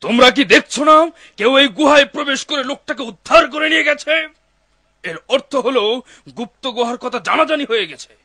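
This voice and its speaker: A menacing cartoon bandit chief, very high and sharp in voice. He declaims his orders forcefully, in a close, dry sound. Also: brisk, no room sound, exaggerated voice. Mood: angry alarm. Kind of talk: cartoon dub acting.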